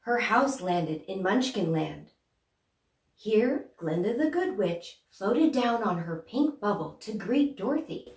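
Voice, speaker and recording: A gracious good witch, played by a young woman, tells a storybook tale to small children. The delivery is expressive and deliberate, at a medium pitch. It is picked up from a distance in an echoey room. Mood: gentle storytelling. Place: small plain room indoors. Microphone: far camera. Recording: roomy, distant microphone.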